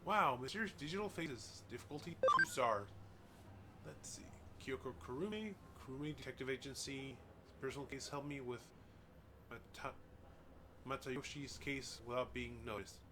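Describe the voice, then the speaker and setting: A man's voice: mid-range voice, moderate pace, very noisy. A man hosting a game playthrough, reading on-screen dialogue aloud in a casual way, tripping over names and adding chatty asides. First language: English. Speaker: gaming channel host. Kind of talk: let's play, reading game text aloud. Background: loud music.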